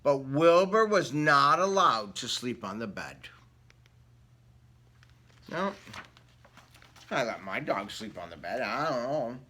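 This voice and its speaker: A man's voice: mid-range voice, big pitch swings, slow pace, pauses often, pushed and bright. A man reading a picture book aloud to children in a playful way, asking them questions along the way. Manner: playful, addresses young listeners with questions. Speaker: children's story reader. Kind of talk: animated picture book read-aloud with asides to listeners.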